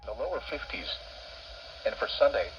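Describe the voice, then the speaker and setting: A man's voice: fairly low pitch, big pitch swings, normal speed, highs cut off, music in the background. A man reading a scripted weather forecast bulletin, heard as a radio broadcast through a small speaker.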